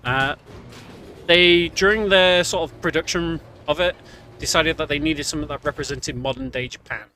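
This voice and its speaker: A man's voice: fairly high pitch, moderate pace, pushed and bright, a little hiss or hum. A man giving casual, chatty live commentary on a game he is speedrunning, explaining things as he goes. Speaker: speedrunner commentating his own run.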